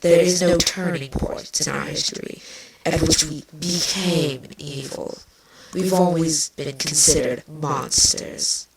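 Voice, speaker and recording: Woman as a low, slow-spoken venomous changeling queen. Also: fairly even intonation, over a noisy crowd, exaggerated voice. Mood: bitter, resigned. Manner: slow, biting, sardonic. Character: bitter, venomous changeling queen, insectlike villainess. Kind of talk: dramatised fan fiction reading. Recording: distant mic, clearly noisy.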